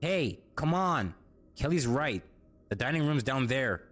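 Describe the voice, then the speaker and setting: A man's voice: mid-range voice, lively intonation, a little slow, a bit bright. A man playing a teenage boy who urges his friends on in an audio horror drama. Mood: urging.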